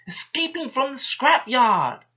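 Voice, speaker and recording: A man's voice: very high voice, big pitch swings, unhurried, bright, forceful tone, highs cut off, voice pushed up, exaggerated voice. A man voicing a frightened, grateful male steam engine in a storybook, talking timidly and shakily. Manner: timid and shaky.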